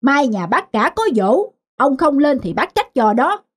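High-voiced nagging wife. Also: female voice, very animated. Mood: chiding. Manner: brisk, chiding. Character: nagging, skeptical middle-aged wife. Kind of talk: cartoon folk-tale voice acting. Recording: music behind the voice.

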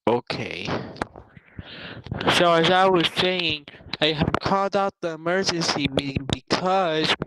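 A man hosting an online meeting, making formal announcements in a halting, repetitive way on a video call. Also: a little high, a bit bright, low-quality recording. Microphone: video call microphone.

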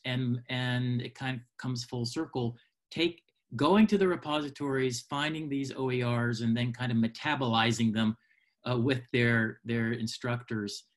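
A man in his fifties informally hosting a webinar on a video call, speaking haltingly as he searches for words. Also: mid-range voice. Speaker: webinar host. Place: small home room, indoors. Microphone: computer mic on a video call.

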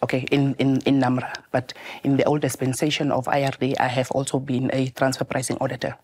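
A woman with a loud voice, answering interview questions in a studio in a lively, joking way with hesitant restarts. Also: extremely low pitch, brisk. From Namibia. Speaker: tax officer guest. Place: TV studio, seated.

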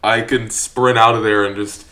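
A man in his twenties hosting a reaction video in casual chat, excitable and repeating his phrases. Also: fairly low pitch, moderate pace. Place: small room indoors. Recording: roomy sound, faint noise.

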